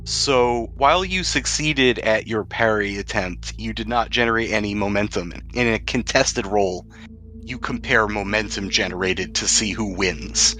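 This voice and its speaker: A man's voice: lowish voice, unhurried, pushed and bright. A man running a tabletop role-playing game as game master, describing combat vividly and explaining the rules.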